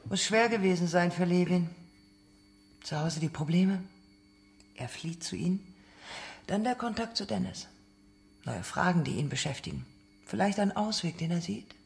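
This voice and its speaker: A probing interrogator in a naturalistic radio drama, played by an actor. The voice sits at a middling pitch. The questions are pointed and insinuating, and they keep pressing the witness. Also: a little mellow, close to an everyday voice.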